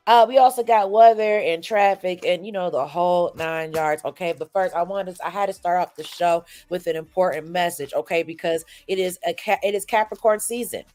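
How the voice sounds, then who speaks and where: A woman's voice: lowish voice, darkish tone. A woman hosting a morning show, stuffy from a cold, chatting through the news and checking in with listeners. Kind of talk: casual news recap chat.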